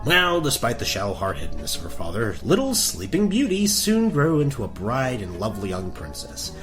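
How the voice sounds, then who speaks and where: A man narrating a comic fairy tale in a tongue-in-cheek storybook style. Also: fairly low pitch. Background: music.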